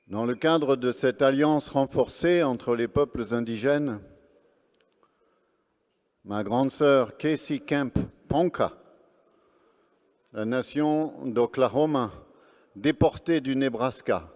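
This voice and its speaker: A man's voice: mid-range voice, slow, pauses often, darkish tone. Earnest guy interpreting live on stage, mid voice, hall with long echo. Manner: earnest, phrase-by-phrase delivery. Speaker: presenter and interpreter. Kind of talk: presenting and live interpreting at an event. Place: large event hall on stage. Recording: long reverb of a large hall.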